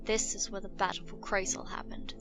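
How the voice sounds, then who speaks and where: A woman's voice: mid-range voice, level tone, fairly quick, music in the background, close to an everyday voice. Woman playing a caring, practical dog-woman companion. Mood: curious, quiet. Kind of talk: dramatised fantasy audio story.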